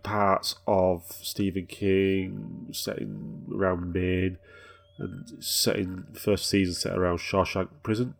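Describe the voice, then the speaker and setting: Gravelly brit guy rambling on about tv, kinda low voice. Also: little pitch movement, slow pace. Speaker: podcast co-host. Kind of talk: casual chat.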